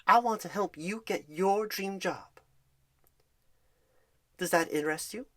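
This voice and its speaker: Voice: mid-range voice, unhurried, fairly bright tone, lightly acted. A performer playing an upbeat, reassuring job recruiter in a soothing ASMR phone-screen roleplay, talking in a wordy, encouraging, salesy way. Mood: friendly, professional.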